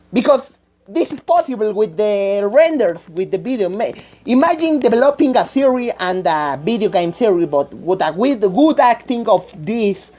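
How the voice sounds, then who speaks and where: Slow, halting woman with a Spanish-speaking accent. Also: mid-range voice, a little mellow. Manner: halting, searching for words, repeating phrases. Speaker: amateur game creator. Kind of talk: unscripted rambling vlog talk. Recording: dull lo-fi microphone sound.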